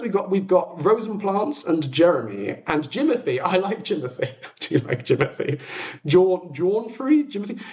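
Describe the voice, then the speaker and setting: A British man hosting a casual livestream, chatting in a rambling, explanatory way with tangents. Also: sits high. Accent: British English. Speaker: livestream host, robotics researcher. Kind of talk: casual livestream chat to viewers. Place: small furnished flat, indoors.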